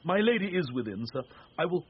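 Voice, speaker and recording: Impudent fool for Shakespearean radio comedy, very lively pitch, teasing wordplay, slightly noisy recording. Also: male voice, fairly quick. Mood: wheedling, sly. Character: witty male jester, impudent, wordplaying and wheedling. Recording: dull radio recording, faint noise.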